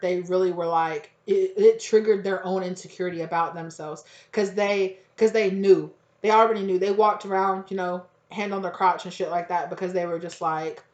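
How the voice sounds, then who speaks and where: Hoarse woman, slightly low voice, casual rambling tarot chat, echoey dull room sound. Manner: rambling, slangy, acts out quotes. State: hoarse, nearly losing her voice. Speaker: tarot reader. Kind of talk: casual channeled tarot reading.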